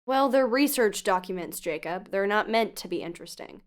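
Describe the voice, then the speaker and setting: A woman's voice: medium pitch, expressive, normal speed, natural voice. An actress playing a protective, insistent female investigative podcaster in an audio drama, questioning firmly and earnestly. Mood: reluctant, stern. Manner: probing, firm, earnest. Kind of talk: audio drama phone dialogue.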